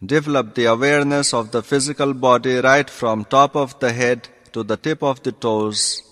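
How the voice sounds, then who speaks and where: A man teaching yoga through guided meditation, calm and deliberate, giving instructions in short measured phrases. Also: mid-range voice. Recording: long reverberant hall sound.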